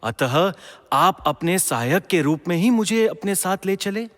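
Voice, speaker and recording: A man's voice: sits high, expressive, unhurried. A man acting an earnest, brave and dutiful young prince in a mythological audio drama, declaiming with formal respect and passion. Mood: pleading, resolute. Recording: long hall-like reverb.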